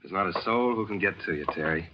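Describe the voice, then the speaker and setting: A man's voice: sits low, quick pace, noisy background, close to an everyday voice. An actor playing a brash, cool-headed American newspaperman in a 1940s radio thriller. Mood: casual. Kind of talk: 1940s radio drama acting. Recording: muffled old radio broadcast with loud music.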